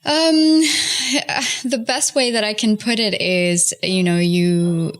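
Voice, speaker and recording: A woman's voice: medium pitch, steady tone, slow. Young woman, reflective and emphatic.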